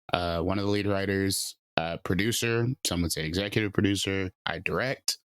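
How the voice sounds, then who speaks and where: A man's voice: sits low, no room sound. A man in his late twenties, a writer and producer, talking loosely in a casual interview with lots of fillers. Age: late 20s. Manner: loose and chatty, many fillers.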